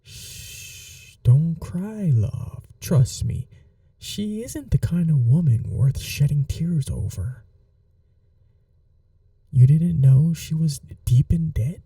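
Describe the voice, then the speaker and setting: A man playing a calm, flirty, protective mafia boss in a soft-spoken ASMR roleplay, unhurried and reassuring with a teasing edge. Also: a little high, gravelly, no room sound, distant mic, heavily acted. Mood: soothing, reassuring, then cool, matter-of-fact.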